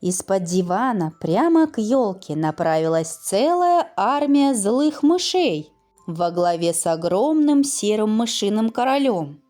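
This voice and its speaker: A woman reading a scripted children's fairy tale in an expressive, deliberate storytelling manner with dramatic pauses. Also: medium pitch, soft background music.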